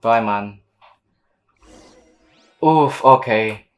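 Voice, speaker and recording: A woman's voice: a bass voice, drawn-out words. A woman streaming a game with casual, slangy, teasing commentary, reading dialogue aloud. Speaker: gameplay streamer. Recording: game music behind the voice.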